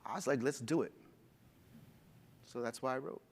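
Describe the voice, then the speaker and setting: A man's voice: mid-range voice, moderate pace, long reverb tail, a little hiss or hum. A man on a writers' panel, searching for words with restarts and bursts of laughter. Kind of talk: panel discussion. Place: conference stage.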